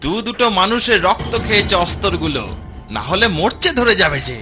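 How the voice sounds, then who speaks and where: A man playing an eager, bloodthirsty bandit henchman in a cartoon, boastful and excitable. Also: sits high, brisk, music in the background. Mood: eager, scheming. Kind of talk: cartoon voice acting in Bengali.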